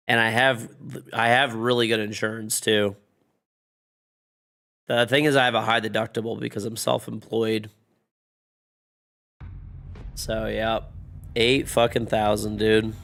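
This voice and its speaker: A man in his thirties with a gravelly, creaky voice, worn down by congestion and a fading voice. He chats with his stream viewers in a loose, rambling way, dropping swear words freely. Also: on the low side, a bit bright. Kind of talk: casual chat with stream chat.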